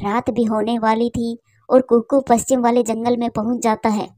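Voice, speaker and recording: A woman narrates a scripted cartoon story in a high voice. The recording is close and dry, with no room sound. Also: little pitch movement, moderate pace, mellow, no echo.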